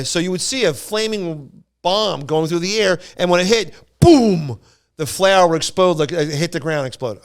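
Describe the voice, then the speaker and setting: A man with a smooth, fairly high voice spins a casual story on a podcast. He rambles along, stumbling over words and circling back to repeat phrases. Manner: rambling, stammering, repeats himself. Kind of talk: casual anecdote telling.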